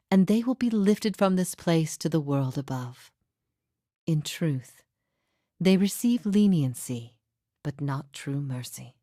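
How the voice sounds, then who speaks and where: A woman voicing the solemn, forgiving spirit of a martyred heretic in a fantasy game, with measured, formal delivery and breath at the ends of phrases. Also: low voice, mellow, no echo, clean studio sound. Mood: gentle, solemn, then grave, sombre. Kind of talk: scripted fantasy game dialogue.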